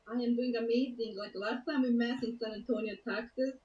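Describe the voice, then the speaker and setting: Soft-spoken woman from Bosnia, heard from afar. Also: a little high, fairly even intonation, a little fast, a little hiss or hum. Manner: earnest and emotional, soft-spoken. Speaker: guest. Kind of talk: personal story on a talk show. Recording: muffled, roomy audio from a distance.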